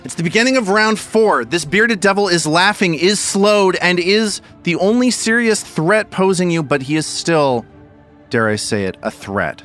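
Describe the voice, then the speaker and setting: A man narrating a tabletop game as game master, with measured, dramatic delivery and dry humor. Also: sits high, lively intonation, a bit bright, soft background music.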